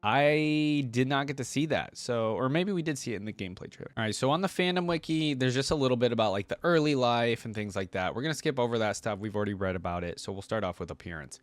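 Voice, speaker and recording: A man's voice: medium pitch, no echo. A man hosting a reaction video, chatting casually and reading text aloud with frequent asides. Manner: chatty, pauses to add asides.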